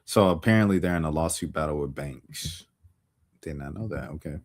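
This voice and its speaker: A young man streaming and reacting to clips in a laid-back, joking way, full of slang and shout-outs. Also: a bass voice, brisk. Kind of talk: casual reaction commentary.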